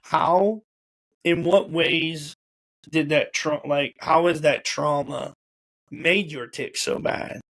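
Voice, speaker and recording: A man's voice: a little high, steady tone, slow pace, fairly bright tone, rough recording. A young man hosting a casual interview, informal and repeating words as he feels his way through questions. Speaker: host. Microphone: video call or home camera.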